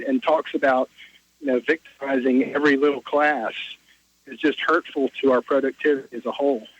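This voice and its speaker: A man calling a radio show, giving his opinion casually and haltingly. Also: medium pitch, slightly rough, no room sound. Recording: dull lo-fi call-in line.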